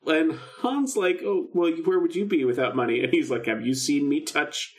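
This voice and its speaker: A man's voice: on the high side, normal speed. A man co-hosting a podcast in a casual chat, talking informally and animatedly as he acts out bits of dialogue. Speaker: podcast co-host. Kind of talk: casual chat about a film radio drama.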